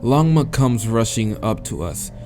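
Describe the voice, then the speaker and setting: A man's voice: medium pitch, big pitch swings, a little slow, darkish tone, faint music behind. A man reading visual novel narration aloud as a let's player, with casual, crude asides.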